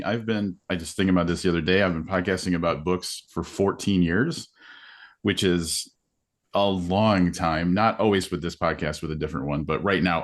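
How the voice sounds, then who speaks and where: Deep-voiced man, chatty and hesitant. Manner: conversational, hesitant with many ums. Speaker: podcast host, panel guest. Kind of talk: casual panel conversation. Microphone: video call.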